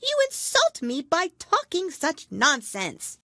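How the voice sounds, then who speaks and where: A woman voicing a touchy, self-important storybook mouse with a sharp, severe, huffy manner. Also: high voice, wildly swooping pitch, takes it slowly, no room sound, clean studio sound, pitched above the performer's own voice, full character voice. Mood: angry, offended.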